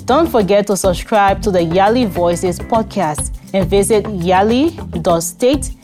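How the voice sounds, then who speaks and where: A woman hosting a podcast interview, asking polite, gently formal questions. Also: mid-range voice, a little slow, over music. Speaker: podcast host.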